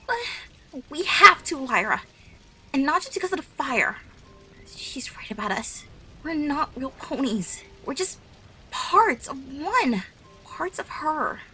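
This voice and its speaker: A timid young mare in an audio drama. The voice is extremely high and shrill, bright and meek, swinging widely in pitch with raw emotion. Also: female voice, big pitch swings, slow pace, faint music behind, exaggerated voice, poor audio. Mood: resigned urgency. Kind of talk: dramatic fan fiction audio acting.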